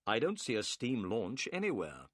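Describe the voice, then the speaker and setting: A man voicing a calm, clever male detective who speaks politely, briskly and with assurance. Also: lowish voice, no echo, studio quality. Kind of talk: audiobook character voice.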